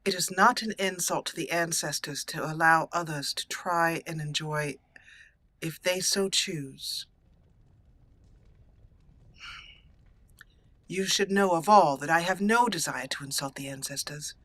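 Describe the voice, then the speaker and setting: Woman voicing a bright, proud exiled noblewoman. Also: deep voice, no room sound. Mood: firm, formal. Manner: formal, deliberate. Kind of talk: roleplayed video game dialogue.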